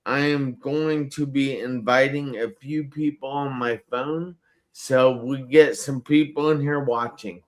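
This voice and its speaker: Man, show host, slow deliberate pace, emphatic, repeats words, webcam. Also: mid-range voice, fairly even intonation. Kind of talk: live-stream show host.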